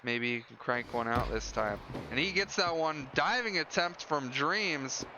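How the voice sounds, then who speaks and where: A man's voice: fairly high pitch, lively intonation, measured pace. A man doing live play-by-play baseball commentary in clipped calls with casual asides. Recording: dull lo-fi audio with loud background noise.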